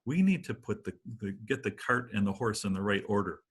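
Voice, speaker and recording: A man's voice: fairly low pitch, animated, brisk, husky. A man in his sixties, a priest, speaking thoughtfully on a video call from his home. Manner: thoughtful, reflective. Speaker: priest guest. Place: home room. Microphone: video call webcam.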